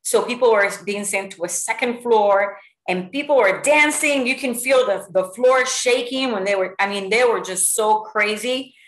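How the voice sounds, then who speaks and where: A middle-aged woman from Puerto Rico giving a lively, motivational talk to her sales team over a video call, full of stories and fillers. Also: medium pitch, moderate pace, low-quality recording. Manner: lively, animated storytelling with many fillers. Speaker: sales executive speaking to a team. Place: home room with bookshelves. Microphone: video call webcam.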